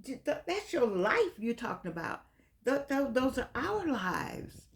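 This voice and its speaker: An American woman, middle-aged or older, giving unscripted opinion talk to her viewers in an earnest, exhorting manner, often repeating words haltingly. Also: high-pitched, big pitch swings, gravelly. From United States. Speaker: vlogger addressing viewers.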